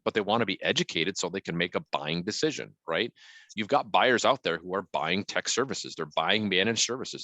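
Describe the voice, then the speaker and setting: A middle-aged man with a booming voice gives emphatic, conversational business advice, often checking in with listeners. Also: pushed and bright, no echo. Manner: emphatic, punchy, frequently checking in with "right?". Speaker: guest marketing consultant.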